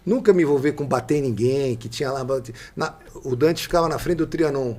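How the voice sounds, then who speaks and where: A man's voice: fairly high pitch, brisk, mellow. A man in his sixties with a São Paulo Brazilian accent, telling stories conversationally as a podcast guest, repeating key phrases for emphasis. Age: 60s. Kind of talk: animated conversational storytelling.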